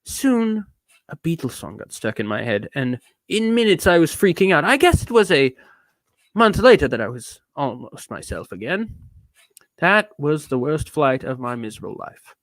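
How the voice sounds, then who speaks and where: A man's voice: on the high side, very animated, normal speed, a bit bright, dry recording. A man voicing a bitter, neurotic ranter in a theatrical dramatic reading, with a put-on accent that shifts around. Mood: cranky storytelling. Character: edgy, bitter, ranting neurotic man telling a travelogue. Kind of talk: dramatic reading of a rambling rant.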